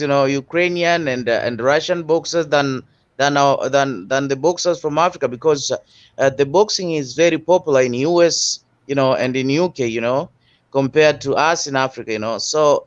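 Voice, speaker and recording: Halting man on a muffled line. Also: fairly high pitch. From Tanzania. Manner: halting, many fillers and restarts. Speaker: guest panelist. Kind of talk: casual boxing discussion. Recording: dull lo-fi call audio.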